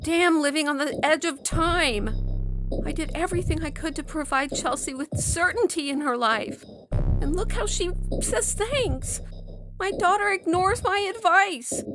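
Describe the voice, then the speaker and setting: A woman's voice: very high-pitched, a little slow, music in the background. A woman acting an anguished, worried mother in an audio science fiction story. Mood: bitter, upset. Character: anguished, worried mother of a time-separated daughter. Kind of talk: audio fiction dramatic reading.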